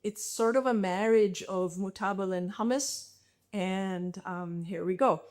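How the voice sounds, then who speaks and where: A woman from Turkey talks through a cooking demonstration from her home kitchen. She speaks slowly and in a friendly, hesitant way, often pausing on "um". It comes through a video call. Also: medium pitch, a little mellow. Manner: hesitant, many ums, friendly. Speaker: home cook presenter.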